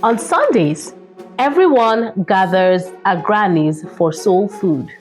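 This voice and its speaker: Slow-paced woman hosting children's storytime. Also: medium pitch, animated, a little mellow, faint music behind. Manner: playful, chatty, addressing young listeners directly. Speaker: children's storytime host and reader. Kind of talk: children's read-aloud with chat and singing.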